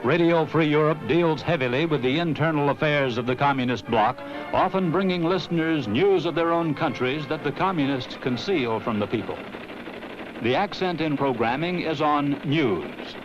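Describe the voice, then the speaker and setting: An American man reading a scripted 1960s promotional film narration in a grave, emphatic, measured announcer's delivery. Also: fairly high pitch, animated. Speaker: documentary narrator. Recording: dull vintage film soundtrack with music.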